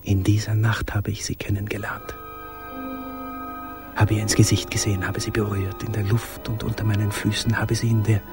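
An actor plays a tender man full of yearning, remembering secret nights in a literary radio drama. His voice is on the low side, measured and lyrical, sinking toward a hush, with prominent music underneath. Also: little pitch movement, close to an everyday voice. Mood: hushed, awed.

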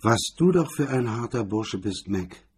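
A man voicing a sullen, detached gangster with a dull, husky delivery in a crime audiobook. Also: lowish voice, animated, a little slow, darkish tone, heavily acted. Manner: dull, absent.

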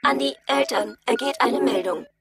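An actress playing a formal electronic robot governess in a children's radio play, with stiff, official phrasing. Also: female voice, sits high, moderate pace, vocal fry, music in the background. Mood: formal, impassive.

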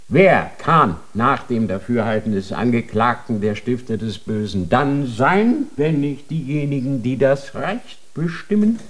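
A man's voice: mid-range voice, natural voice. An actor playing a stern male inquisitor of a holy tribunal, interrogating in a cold, formal manner in a radio drama.

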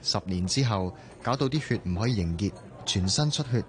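Radio drama role: male actor as a caring, earnest company boss and friend, fairly deep, Hong Kong accent. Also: lively intonation, a little fast, lightly acted. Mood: concerned, gently chiding.

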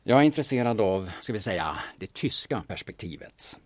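An actor playing a brash, flirtatious man from the big city who talks in a smooth, cheeky, insinuating way in an audio drama. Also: lowish voice, animated, no echo. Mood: wry, pointed. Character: brash, flirtatious Stockholm man posing as auction-house buyer.

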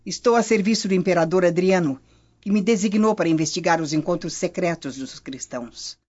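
A woman's voice: on the low side, brisk, a little mellow, lightly acted. An actress playing a haughty, scheming Roman noblewoman in a radio drama, imperious and formal. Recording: dull lo-fi audio.

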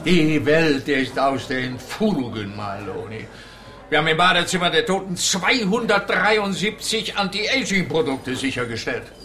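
An actor playing a weary, officious police investigator in a radio play, brusque and dismissive. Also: male voice, on the high side, very animated, measured pace, bright, forceful tone, faint music behind, heavily acted. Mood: weary, matter-of-fact.

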